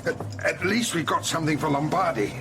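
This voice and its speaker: A man's voice: a little high, lively intonation, fairly quick, gravelly. A man playing a blunt, grumbling but kindly British sidekick in a radio drama, with noise in the background.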